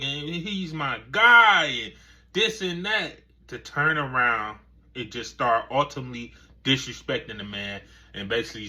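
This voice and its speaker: A man's voice: fairly high pitch, pushed and bright. A man hosting a casual commentary video, rambling with lots of filler phrases. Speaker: commentary channel host.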